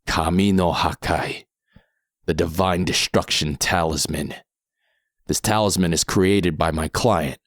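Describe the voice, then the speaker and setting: An actor playing a calm masked mercenary in an audio drama, measured, unhurried and coolly threatening. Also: male voice, sits low, dry recording, studio quality. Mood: cool, assured. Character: calm masked mercenary man, cool and menacing.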